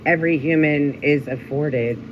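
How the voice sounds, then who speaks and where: A man's voice: on the high side, fairly even intonation, normal speed. A man speaking conversationally as a host, earnest and affirming toward the person on the call. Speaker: vigil host taking the call. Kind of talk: supportive conversational responses. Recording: muffled, noisy live audio.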